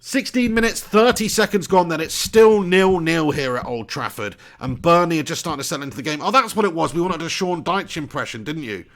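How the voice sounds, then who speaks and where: A man's voice: a little high, lively intonation, a little fast, hardly any pauses. Chatty man streaming a match. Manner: chatty, laughing, spiking loud for match action. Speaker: host of a football watch-along stream. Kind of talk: live match commentary and chat reading.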